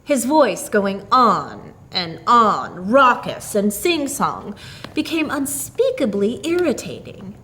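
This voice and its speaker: Female storyteller: expressive narration, very varied intonation, dramatic and deliberate phrasing. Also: mid-range voice, a little hiss or hum.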